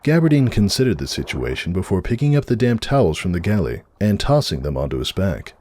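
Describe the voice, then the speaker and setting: A man's voice: deep voice, big pitch swings, brisk, warm tone. A man narrating a story in a dramatic reading style.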